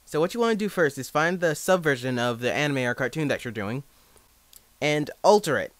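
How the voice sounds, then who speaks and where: A man's voice: fairly high pitch, fairly bright tone, no echo. A young man giving a casual, informal tutorial as an amateur, talking in a self-deprecating way. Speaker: amateur fan dub creator giving a tutorial.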